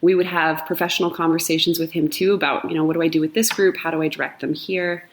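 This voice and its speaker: A woman's voice: low-pitched, fairly even intonation, quick pace. A woman drama teacher answering interview questions earnestly and reflectively, with frequent "um" fillers. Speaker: high-school drama teacher.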